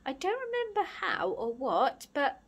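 A woman's voice: sits high, huge pitch swings, measured pace, pitched above the performer's own voice, heavily acted. A woman voicing a big, muscular, hot-tempered storybook bully boy who is wounded underneath, with emotional outbursts. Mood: shaken, quiet. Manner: loud, growling, emotional outbursts.

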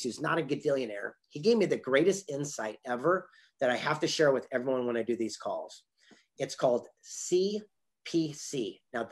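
An older man speaks as a motivational storyteller over a video call. His voice is husky and rough-edged at a middling pitch. He delivers his points with deliberate emphasis. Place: indoor room on a video call.

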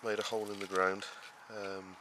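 Low-voiced man giving a garden tour. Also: flat delivery, moderate pace, sharp tone. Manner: rambling, many fillers and restarts, explains step by step. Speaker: allotment gardener, show host. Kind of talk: casual garden tour walkthrough. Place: outdoors on a vegetable allotment. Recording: noisy outdoor camera audio. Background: outdoor rural ambience.

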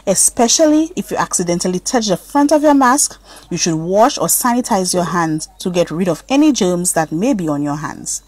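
A woman's voice: on the low side, expressive, normal speed, a little mellow, no echo. A woman voicing a patient, instructive mother in a children's story, explaining step by step.

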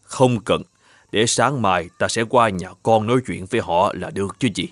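A man voicing a genial middle-aged father-in-law in an animated folktale, measured and persuasive. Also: lowish voice, no echo, lightly acted. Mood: reassuring. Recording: clear music under the voice.

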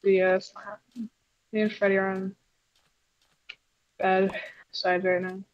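A woman's voice: mid-range voice, little pitch movement, takes it slowly, no room sound, muffled recording, natural voice. A woman voicing a wary, irritated puppet in an improvised game roleplay, guarded and blunt. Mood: reluctant. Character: wary, irritated female puppet, stubbornly refusing her evil double.

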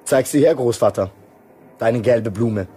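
A man's voice: medium pitch, unhurried, dark tone, slightly rough. An actor playing an embittered, dismissive man in a German radio drama. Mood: scornful.

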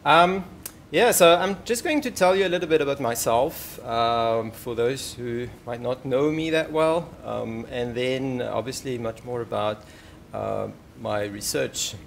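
A man in his forties with a French accent speaks from a podium in a large lecture hall. His voice has a gravelly, creaky edge and sits at an ordinary pitch. A faint hiss runs underneath as he talks in an earnest, halting way, with plenty of ums. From France. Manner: earnest, warm asides, frequent ums. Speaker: professor giving inaugural lecture. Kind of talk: formal lecture. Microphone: podium microphone.

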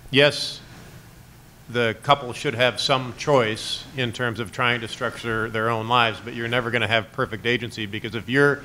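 A man speaking into a table mic at a conference panel. He explains his view earnestly, and his voice has a bright, forward edge. A faint hiss sits in the background. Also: mid-range voice, fairly even intonation, normal speed, low-quality recording. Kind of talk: panel discussion answer. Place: conference room.

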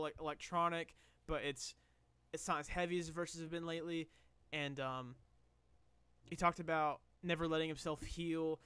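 A young man with a slightly hoarse voice chatting casually while reacting to music, recorded on a headset in a small bedroom. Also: on the high side, pushed and bright, no room sound. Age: young adult. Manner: chatty, rambling, with restarts.

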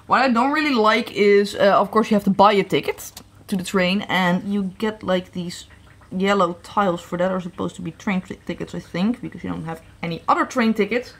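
A woman's voice: medium pitch, a little fast. Chatty woman reviewing toys at home. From Netherlands. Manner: chatty, hesitant, many fillers and restarts. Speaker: toy reviewer. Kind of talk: casual product review. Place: indoor room at a tabletop set.

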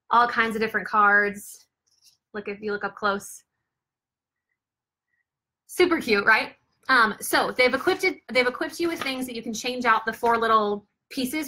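Young woman, somewhat high voice, bright tone, casual upbeat product demo, chatty fillers, living room, camera a few feet off. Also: slight background noise. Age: young adult. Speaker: product reviewer and mother. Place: living room floor beside a baby play mat. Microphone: camera a few feet away.

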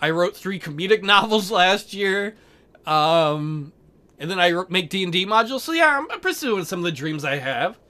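A woman's voice: on the low side, lively intonation, normal speed, bright, forceful tone. A woman doing casual, goofy game commentary as a let's play host, joking crudely and rambling.

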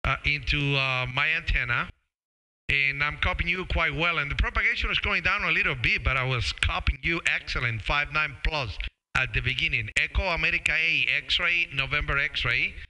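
A man's voice: fairly high pitch, normal speed, sharp tone, rough recording. A middle-aged man making casual ham radio contact as the operator, speaking hesitantly and repeating words and call signs, close on a studio microphone. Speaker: amateur radio operator and live streamer. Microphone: boom-arm studio microphone close to mouth, with headset.

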